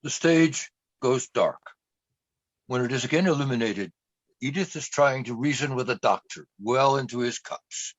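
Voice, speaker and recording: A man narrates a radio play from a script in a medium-pitched voice. The sound is very dry and a little muffled, with the top end dulled. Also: moderate pace, dry recording. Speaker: narrator reading stage directions.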